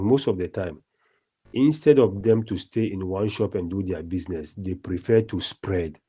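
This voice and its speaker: A man giving a long recorded voice message, lecturing in a steady explanatory way and often correcting himself. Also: deep voice, slight background noise, poor audio. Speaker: voice note sender.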